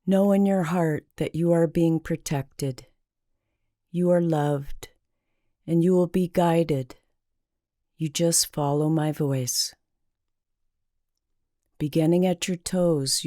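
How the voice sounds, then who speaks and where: A woman with a dark, mellow voice narrates a guided meditation. She speaks very slowly and deliberately, leaving long, calming pauses. The recording is dry, with no room sound around her. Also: low-pitched, dry recording.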